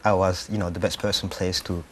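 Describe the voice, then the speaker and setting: Male interviewee, low pitch, hesitant conversational delivery, faint background noise. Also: little pitch movement, fairly quick. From Rwanda. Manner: hesitant, thinking aloud. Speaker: actor-writer being interviewed.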